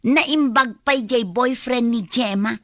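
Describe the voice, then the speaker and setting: A woman's voice: fairly high pitch, slow, dry recording, clean studio sound. An actress playing an envious, demanding young girlfriend in a radio drama, with an Ilocano Filipino accent. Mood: demanding, pouting.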